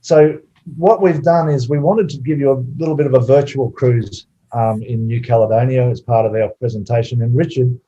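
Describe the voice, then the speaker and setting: Guy hosting a webinar on a video call, really dark mellow voice, lots of ums, kinda dull audio. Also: mid-range voice, moderate pace. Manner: relaxed, hesitant with many fillers. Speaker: webinar host.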